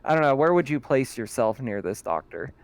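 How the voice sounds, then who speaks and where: A man narrating a tabletop roleplaying game as its game master, pausing often with fillers. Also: medium pitch, very animated, clean tone, dry recording. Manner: slow, halting narration with fillers and laughs.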